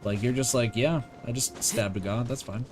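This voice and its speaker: A man's voice: medium pitch, moderate pace. A young man giving casual game stream commentary, slangy and repetitive, with casual swearing. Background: game music.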